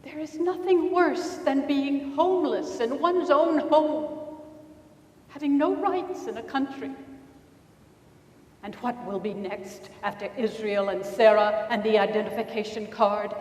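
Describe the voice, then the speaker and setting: Female reader as despairing woman diarist, slightly high voice, measured and reflective, staged in a reverberant hall. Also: a little mellow. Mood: weary despair. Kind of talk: dramatic reading of a diary. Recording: distant mic in echoey hall.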